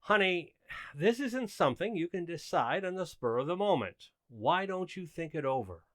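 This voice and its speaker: A man's voice: high-pitched, animated, moderate pace, no echo. A man playing a well-meaning, flustered suburban husband in a radio sitcom, hesitant, earnest and pleading. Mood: coaxing. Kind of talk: old-time radio sitcom acting.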